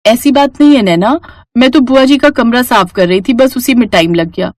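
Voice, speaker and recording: A woman voicing a gentle, dutiful young daughter-in-law in a family story, patient and softly reasoning, with self-respect. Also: a little high, moderate pace, no room sound, pitched above the performer's own voice, lightly acted. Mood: helpful, patient.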